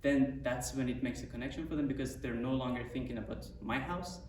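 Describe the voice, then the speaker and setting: A man's voice: medium pitch, a little fast, darkish tone, slight background noise. A man composer who grew up in Iran, explaining his piece in a reflective, thoughtful way. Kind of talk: interview talk. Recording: roomy echo from far off with music.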